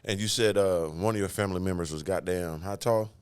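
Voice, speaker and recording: A man's voice: low-pitched, brisk, clear, resonant tone. A man in his forties hosting a podcast, asking questions and throwing in crude, joking banter. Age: 40s. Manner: crude joking banter, calls guest "baby". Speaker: podcast host. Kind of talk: casual podcast chat.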